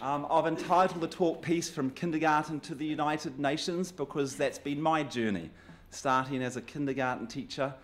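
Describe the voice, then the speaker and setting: A man's voice: on the high side, fairly even intonation, moderate pace, a little hiss or hum. A man from New Zealand with a New Zealand accent gives a public talk in a conversational, good-humoured way, with light self-deprecating asides. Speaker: guest speaker.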